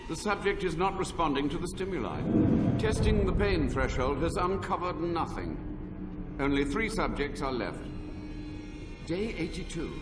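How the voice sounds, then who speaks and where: A man's voice: on the high side, echoey room, big-room sound, distant mic. An actor playing an obsessive male mage researcher dictating journal entries in a clinical, detached voice.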